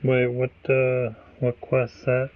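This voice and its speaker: A man playing along on a voice call, answering briefly and low-key. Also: monotone, slow pace, muffled recording. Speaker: co-op gaming friend. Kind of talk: casual chat over voice call.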